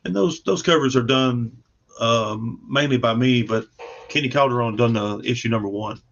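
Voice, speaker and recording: A man with an average-pitched voice talks as a guest creator in a casual interview. He is modest and plainspoken in manner. The sound is dull and lo-fi, as on a video call. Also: moderate pace, gravelly. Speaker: comic book creator, podcast guest.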